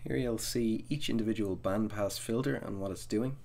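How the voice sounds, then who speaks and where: A man narrating a software tutorial as the instructor, explaining each step methodically. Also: lowish voice, normal speed, creaky.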